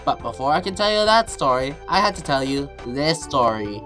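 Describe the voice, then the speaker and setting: A woman's voice: very deep voice, sing-song, normal speed, fairly bright tone. A woman reading scripted storybook narration as the narrator. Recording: music behind the voice.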